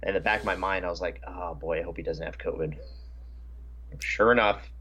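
A man's voice: deep voice, steady tone, a little fast, pushed and bright. A man co-hosting a podcast, chatting casually. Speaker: podcast co-host. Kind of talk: casual chat.

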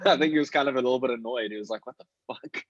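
A man's voice: medium pitch, very fast, no room sound, highs cut off. A man chatting casually as a working actor giving advice, talking in an emphatic, slangy way with plenty of swearing. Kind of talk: casual chat.

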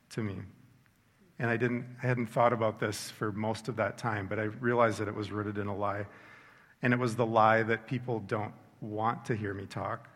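A middle-aged man giving a sincere personal faith testimony, measured and reflective, slowing down for emphasis. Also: fairly low pitch, fairly bright tone. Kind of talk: earnest reflective testimony talk. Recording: long hall reverb.

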